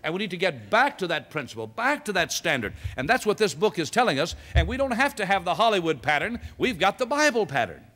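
A middle-aged man preaching a sermon from a church pulpit, swinging between loud exhortation and low, soft-spoken passages. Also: sing-song, fast talker, few pauses. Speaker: pastor. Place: large church sanctuary with choir behind. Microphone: stand mic at pulpit. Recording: long reverb of a large hall.

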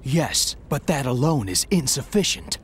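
Brooding, deliberate male anti-hero. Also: mid-range voice, big pitch swings, a little hiss or hum. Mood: stern. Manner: terse, grave, deliberate. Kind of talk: video game cutscene acting. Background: background music.

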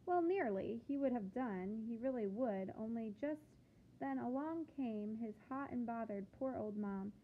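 High, creaky-voiced woman reading a picture book. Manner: animated, deliberate phrasing, questioning the listeners. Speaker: teacher reading aloud to children. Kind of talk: expressive picture-book read-aloud with asides to children. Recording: phone-like thin sound with background noise.

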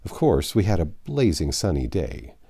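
An older man reading a classic novel aloud as a narrator, in measured storytelling phrases with dramatic pauses. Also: deep voice, very animated, creaky, mellow, breathy edge. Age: 50s or 60s. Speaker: audiobook narrator. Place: small home library, indoors.

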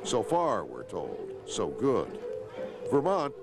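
An older man narrating a scripted news report as correspondent, with a measured, expressive delivery. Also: fairly low pitch, over music.

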